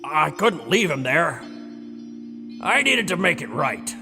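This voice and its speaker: An actor in an audio drama playing a gruff old dragon-slaying veteran, defensive and blustery, with a gravelly, rambling delivery. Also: male voice, fairly high pitch, big pitch swings, takes it slowly, heavily acted. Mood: haunted, weary. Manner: blustery, rambling storytelling, stammers when challenged. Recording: reverberant hall with music behind.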